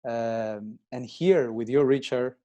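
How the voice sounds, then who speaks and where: A man in his twenties who grew up in Italy, speaking slow, halting non-native English as a guest with many pauses and restarts. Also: mid-range voice, fairly even intonation, dark tone, muffled recording. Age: 20s. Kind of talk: casual interview chat.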